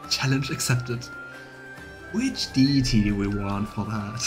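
A man's voice: fairly low pitch, slow pace, music in the background. A man in his thirties doing casual, playful game commentary and narration as a streamer, musing as he goes. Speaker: streamer, let's player. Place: small indoor room on webcam.

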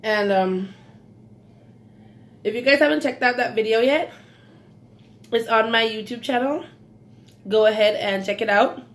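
A woman's voice: fairly high pitch, measured pace, pauses often. A woman in her thirties from Belize with a slight Belizean accent, chatting casually in an eating vlog at home, savoring food with frequent mm's. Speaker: mukbang vlogger. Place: small indoor room at home.

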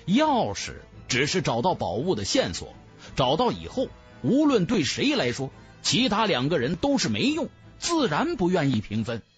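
A man's voice: a little high, huge pitch swings, normal speed, faint music behind. A man voicing a blunt, impatient fantasy cultivator with a curt, grumbling manner in an audiobook.

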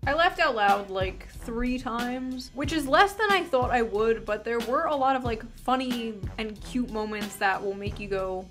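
A woman's voice: fairly high pitch, fairly bright tone. A young woman giving a sarcastic video essay commentary, ironic and crude with bursts of mock outrage, close to a studio mic. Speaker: online commentator. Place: small indoor room with tinsel backdrop. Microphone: large studio mic close in front.

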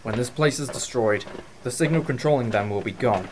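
A man's voice: medium pitch, brisk, background noise, lightly acted. A man playing a decisive, protective time-traveller in a fan audio drama. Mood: calm command.

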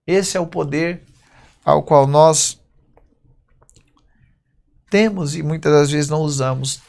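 A man in his thirties with a Brazilian accent, preaching a sermon at a church pulpit, reading verses slowly and then speaking in quick bursts. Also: on the high side, gravelly. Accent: Brazilian Portuguese. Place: church stage at a wooden pulpit.

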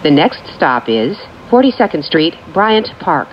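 Woman train announcer, slow n measured, low voice, recorded on board w train noise. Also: very animated. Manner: measured, formulaic, clearly separated phrases. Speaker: transit announcer. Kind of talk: scripted automated train announcements. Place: recorded off speakers inside a subway train. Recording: muffled capture with train noise. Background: train ambience.

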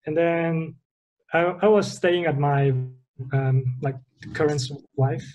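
Young guy from holland on a video call, kinda high voice, hesitant, hunting for words. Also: fairly even intonation, slow pace, mellow, low-quality recording. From Netherlands. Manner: hesitant, searching for words, laughs often. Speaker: guest. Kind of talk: casual interview. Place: bedroom, indoors.

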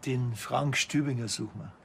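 An actor playing a dry, offhand small-town police officer with a Bavarian accent in a TV crime comedy. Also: male voice, mid-range voice, moderate pace, vocal fry, fairly bright tone, slight background noise. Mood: dry, probing. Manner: offhand, laconic.

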